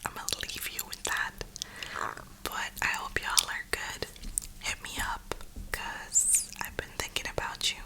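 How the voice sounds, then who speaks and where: A woman host giving a slangy, reflective ASMR ramble in a breathy whisper. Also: low-quality recording. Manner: slangy, reflective, unhurried pauses. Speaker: ASMR gaming video host.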